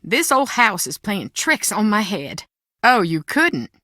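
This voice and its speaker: Game role: middle-aged mother, proud and anxious, defensive, lively swooping delivery, close dry sound. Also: medium pitch, slow pace, no echo, heavily acted. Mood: uneasy, weary. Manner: defensive, theatrical, emotionally expressive. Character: proud, anxious Southern mother guarding family secrets. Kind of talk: video game voice acting.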